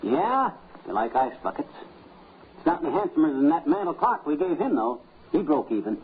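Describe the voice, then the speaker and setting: A blustery American husband from 1950s radio comedy, wisecracking in a folksy way. His fairly high voice swoops about, on a tinny, hissy old recording. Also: big pitch swings, moderate pace, breathy edge, full character voice. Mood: dry, folksy. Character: blustery, penny-wise, folksy married man.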